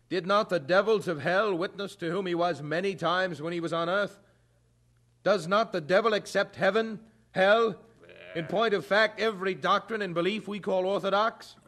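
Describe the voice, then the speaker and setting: A stern young evangelist in an audio drama. His voice sits a little high. He speaks with grave, preacherly earnestness, building his points rhetorically. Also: fairly bright tone.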